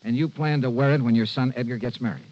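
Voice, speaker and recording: An actor playing a wry, confident hardboiled insurance investigator in old-time radio noir. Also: male voice, medium pitch, fast, close to an everyday voice. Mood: surprised, probing. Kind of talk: 1950s radio noir acting and narration. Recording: dull vintage radio recording, faint music.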